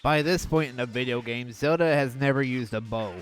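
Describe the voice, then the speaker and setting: A man hosting a video, riffing on cartoon clips with mocking, deadpan sarcasm. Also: mid-range voice, a little fast, over loud music. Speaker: video host. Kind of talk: sarcastic riff commentary.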